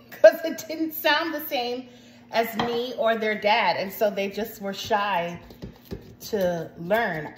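A woman in her forties with an American accent, hosting a casual baking tutorial in her home kitchen and chatting to viewers with frequent asides. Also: medium pitch. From United States, Jamaican household. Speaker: home cooking show host. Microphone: phone camera at arm's length. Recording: echoey kitchen with faint noise.

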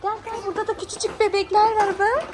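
A woman's voice: extremely high pitch, flat delivery, fast. A woman talking as a doting mother to her small child, in casual child-directed chat full of prompting questions. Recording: clearly noisy background.

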